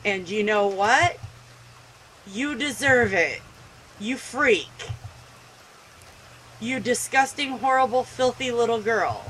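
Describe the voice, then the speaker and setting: A woman's voice: on the high side, expressive, takes it slowly, pauses often, fairly bright tone, slight background noise, low-quality recording. A woman voicing a possessive, scornful mother speaking to her daughter in a video game story.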